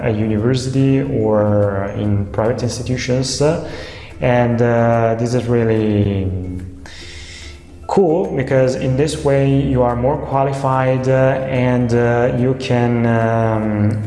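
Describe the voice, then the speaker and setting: A man's voice: mid-range voice, steady tone, slow pace, dark tone, faint music behind. A young Italian man talking casually to camera about tutoring, with many hesitant fillers. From Italy. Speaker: online language tutor. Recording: echoey room with long reverb.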